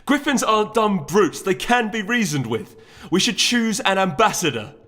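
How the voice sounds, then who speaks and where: A high, simpering pony lord from a fantasy storybook, put on by a man. He pleads and reasons in a raised pitch. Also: lively intonation, normal speed, fairly bright tone. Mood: pleading.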